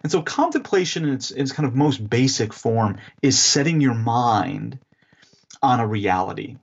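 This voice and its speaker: A man answering interview questions as an author and theologian, explaining in a measured, teacherly way with some fillers. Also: mid-range voice, expressive, gravelly, breathy edge, muffled recording.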